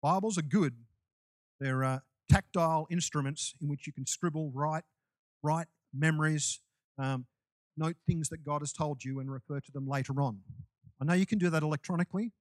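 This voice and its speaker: A man's voice: a little high, normal speed, no echo. A man preaching a sermon to his congregation in a loose, conversational way, with restarts and asides. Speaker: pastor.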